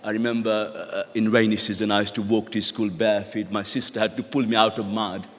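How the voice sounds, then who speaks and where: A middle-aged man with a Bangladeshi accent, a native Bengali speaker, gives a motivational ceremony speech as a guest, in measured, halting short phrases. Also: on the low side, little pitch movement. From rural Bangladesh. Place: large indoor event hall. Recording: echoey hall with long reverb.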